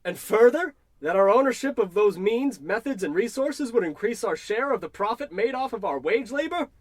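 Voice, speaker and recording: A man's voice: high voice, expressive, normal speed, dry recording. A man playing a wary, melodramatic sidekick salesman in a parody of old radio drama. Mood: excited.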